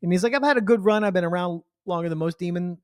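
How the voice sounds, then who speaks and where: A man's voice: sits high, fast, clean tone, dry recording, clean studio sound. A man co-hosting a podcast, casually recapping a TV episode and jokingly acting out characters' reactions. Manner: jokey, acts out characters' reactions in mock quotes. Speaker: podcast co-host.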